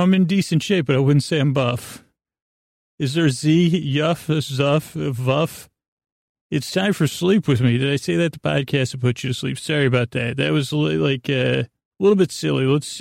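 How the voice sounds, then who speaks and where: Middle-aged male podcast host, gravelly and creaky, goofy halting bedtime rambler, close dry sound. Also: on the high side, a little mellow, dry recording. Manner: meandering, halting, repeats himself, goofy.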